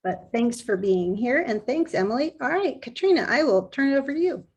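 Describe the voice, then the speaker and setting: A woman's voice: on the high side, expressive, fairly quick, darkish tone, husky, muffled recording. A woman moderating an online panel, upbeat and encouraging as she passes the floor to speakers.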